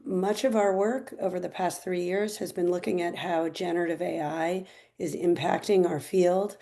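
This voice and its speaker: Low gravelly middle-aged woman. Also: dark tone. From California, USA. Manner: measured, with frequent ums. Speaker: panelist, archival producer. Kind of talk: town hall presentation on video call. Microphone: video call.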